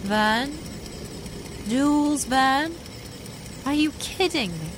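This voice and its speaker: A woman's voice: high voice, expressive, very slow, noisy background, full character voice. A woman playing a sceptical, worried young sidekick with questioning, exclamatory delivery in an old-time radio adventure. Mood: incredulous.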